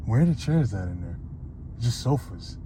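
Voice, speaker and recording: A man's voice: fairly low pitch, sing-song, normal speed. A man chatting casually and teasingly as a friend along for the ride, inside a car. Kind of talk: casual chat. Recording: clearly noisy in-car background.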